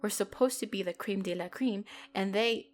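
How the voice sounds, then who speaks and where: A woman's voice: mid-range voice, normal speed, soft background music, close to an everyday voice. A woman playing a commanding, possessive female mafia boss in a dramatic audio roleplay, condescending and controlled, lacing mock sweetness with threats.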